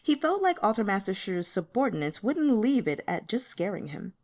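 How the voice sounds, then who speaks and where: Animated woman reading fiction aloud. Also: medium pitch, moderate pace. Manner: informal, playful, expressive. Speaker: audiobook reader. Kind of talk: animated amateur fiction narration with character voices.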